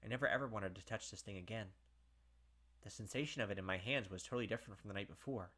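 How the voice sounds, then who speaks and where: A young man reading a visual novel aloud in a dramatic first-person voice, breaking off for excited asides and commentary as a let's play gamer. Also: lowish voice, quick pace.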